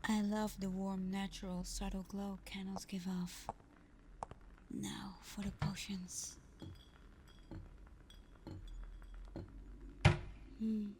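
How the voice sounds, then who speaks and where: Slow, hushed vampire girlfriend, acted by a woman. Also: medium pitch, background noise, natural voice. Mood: soothing, welcoming. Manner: soft, breathy, half-whispered, unhurried. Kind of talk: intimate ASMR roleplay.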